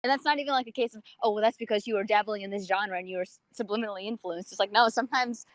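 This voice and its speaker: A woman's voice: mid-range voice, racing, no echo. A woman in a casual podcast chat, teasing and acting out imagined quotes as she makes her point.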